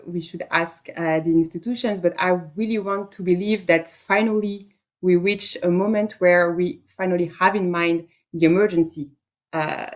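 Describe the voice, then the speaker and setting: A woman on a panel who speaks for small businesses in an earnest, emphatic way. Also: on the low side. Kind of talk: panel discussion.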